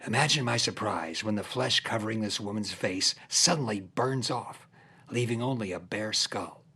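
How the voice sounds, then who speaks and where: A man playing a grandiose 1960s LSD guru and professor, speaking in theatrical, preacher-like oratory with dramatic pauses. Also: lowish voice, bright, forceful tone. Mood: awed, mystical.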